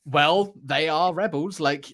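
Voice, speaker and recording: A man's voice: on the high side, slow, fairly bright tone. A man co-hosting a podcast, chatting casually with the enthusiasm of a film fan. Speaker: podcast co-host. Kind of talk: casual chat.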